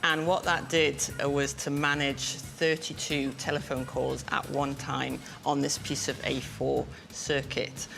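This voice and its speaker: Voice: mid-range voice, level tone, a little slow, vocal fry, few pauses, over music. An engineer in an informal interview, explaining things thoughtfully and encouragingly in plain steps.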